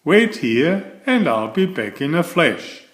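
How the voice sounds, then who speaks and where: A man's voice: fairly high pitch, sing-song, slow, echoey room, voice pushed up. A man voicing a young male turtle in a storybook, eager and boastful with an earnest, declaring manner.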